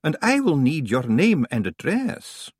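A man voicing a prim, polite female librarian who speaks slowly. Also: a little high, wildly swooping pitch, husky, no room sound, studio quality, pitched above the performer's own voice, full character voice.

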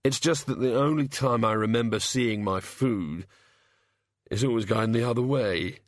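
A man voicing Bilious, a queasy, pitiful male god of hangovers who groans and mumbles haltingly. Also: mid-range voice, no room sound, studio quality, natural voice.

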